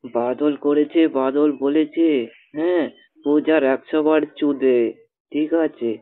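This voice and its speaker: A man's voice: a little high, fairly even intonation, a little slow. A young man on a phone call, defensive and dismissive, often cutting in with short replies. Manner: defensive, dismissive, repeats "ঠিক আছে". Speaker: caller in a phone argument. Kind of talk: phone argument. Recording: tinny phone call recording.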